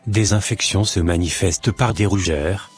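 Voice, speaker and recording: Man doing a cartoon doctor, middle-aged, preachy, deep, husky, soft background music. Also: normal speed. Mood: lecturing. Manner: calm, lecturing and preachy. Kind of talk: amateur cartoon voice acting.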